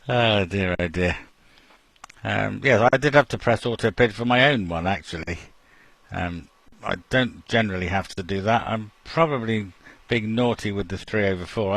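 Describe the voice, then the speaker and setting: A man's voice: lowish voice, fairly bright tone, no room sound. A man hosting an amateur radio net, chatting casually and laughing often between remarks. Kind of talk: casual over-the-air chat.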